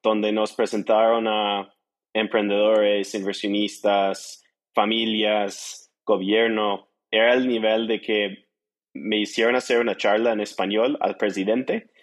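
Hombre estadounidense de voz algo grave. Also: male voice, on the low side, flat delivery, a little slow. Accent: American. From United States. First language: English. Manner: non-native Spanish with small grammar slips. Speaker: interview guest, startup entrepreneur. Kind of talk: casual storytelling in an interview.

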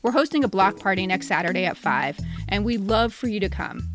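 Mid-pitched woman podcast co-host. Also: animated, fairly quick, over music. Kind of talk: personal reading and casual chat. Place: recording studio.

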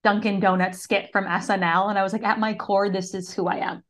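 A woman's voice: medium pitch, level tone, brisk, fairly bright tone. A woman from the US East Coast, a guest on a podcast, telling her story casually with lots of "like". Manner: casual storytelling, many "like" fillers. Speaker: podcast guest, business mentor. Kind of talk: conversational interview.

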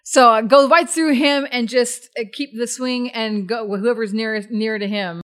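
Woman, Chicago-area Midwest accent, hint of Texas drawl, rapid slurred speech, giggly. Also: a little high, steady tone. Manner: fast talker, slurs words, laughs often. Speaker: podcast co-host and tabletop player. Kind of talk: casual blooper-reel banter.

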